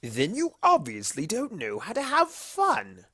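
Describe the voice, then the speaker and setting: A mischievous cartoon chaos creature, male and sly, voiced by a man. The delivery is leisurely and teasing, lifted in pitch and full of wide swoops, like a storybook trickster. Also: wildly swooping pitch, measured pace, bright, forceful tone, dry recording, clean studio sound, exaggerated voice.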